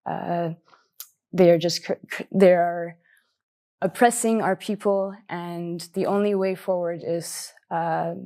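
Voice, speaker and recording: A woman in her twenties on a panel, answering earnestly in a halting way with many fillers. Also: lowish voice, steady tone, warm tone. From Canada. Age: 20s. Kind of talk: personal answers in a panel discussion.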